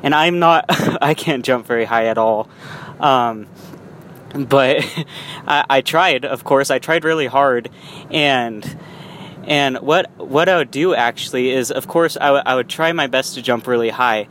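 A man's voice: medium pitch, unhurried, a bit bright. Young man, casual vlog from behind the wheel, rambling, light traffic hum. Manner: rambling with frequent restarts and small laughs. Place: in a car while driving. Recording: faint road noise.

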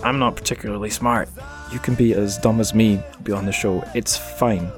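A man's voice: on the low side, steady tone, moderate pace, music in the background. A man hosting a podcast, chatting casually in a rambling, jokey, self-deprecating way. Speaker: podcast host. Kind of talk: casual solo podcast outro, chatty plugs for the show.

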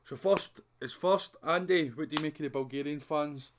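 A man with a Scottish accent hosting a casual podcast, talking in a relaxed, conversational way that often drops to a mutter. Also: fairly high pitch, moderate pace, a little hiss or hum. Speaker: podcast host. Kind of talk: casual chat.

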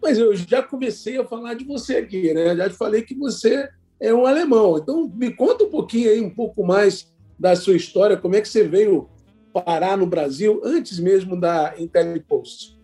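A Brazilian-accented man hosting an interview, effusive and eager. His voice is high for a man but soft and mellow in tone. Faint music runs behind him. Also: animated, normal speed. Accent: Brazilian Portuguese. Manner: enthusiastic, effusive praise. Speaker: podcast host. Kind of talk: conversational interview.

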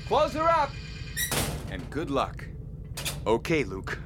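An actor in a radio drama playing a friendly, confident rebel fighter pilot with a hearty, encouraging way of talking. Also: male voice, a little high, huge pitch swings, takes it slowly, noisy background, full character voice. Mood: brisk, explaining.

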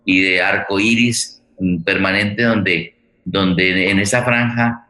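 A middle-aged Colombian man hosting a forum in a reflective, unscripted way, halting and searching for his words. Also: deep voice, sharp tone, low-quality recording. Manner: halting, searching for words, with repeated words and long hesitations. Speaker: host of a radio theatre forum.

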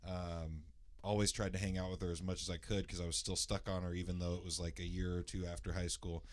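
Loud, low-voiced man telling a story. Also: flat delivery, fast talker. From Indianapolis area, USA. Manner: rambling, many fillers and restarts, clears throat. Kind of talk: casual storytelling.

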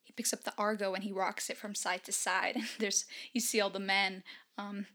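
A woman's voice: medium pitch, level tone, moderate pace, pushed and bright. A woman giving casual solo film commentary in an enthusiastic, emphatic and informal, chatty way. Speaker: film reviewer.

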